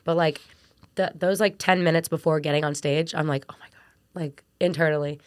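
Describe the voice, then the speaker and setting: Chatty raspy-voiced woman, low pitch. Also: fairly even intonation, fairly quick, no room sound, clean studio sound. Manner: chatty, lots of fillers. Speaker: singer and vocal coach guest. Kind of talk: casual interview chat.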